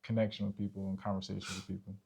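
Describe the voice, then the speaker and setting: Male co-host, dark mellow tone with vocal fry, informal conversational delivery. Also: low voice, flat delivery, racing. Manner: informal, checks in with "right?" and "you know?". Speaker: co-host of a relationship podcast. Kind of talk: casual conversational explaining.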